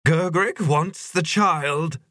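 A man voicing a fanatical, bony female creature in an audiobook, cold and ecstatic, with fervent, drawn-out delivery. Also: a little high, bright, forceful tone, no echo, clean studio sound, faint music behind, voice pushed up. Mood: fervent longing.

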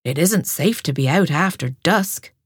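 A woman voicing an old male hedgehog milkman in a storybook reading, speaking low and slowly. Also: animated, slightly rough, dry recording, studio quality, full character voice. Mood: worried. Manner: slow, low and warm-hearted.

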